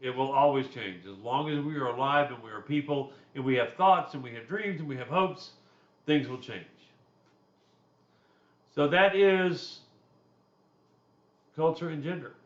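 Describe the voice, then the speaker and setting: A man with a deep voice talks through a class chapter in an easygoing, conversational lecture, dropping in personal asides. The recording is slightly dull and muffled, with a noticeably roomy echo around him. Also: lively intonation, unhurried, long pauses. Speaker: instructor.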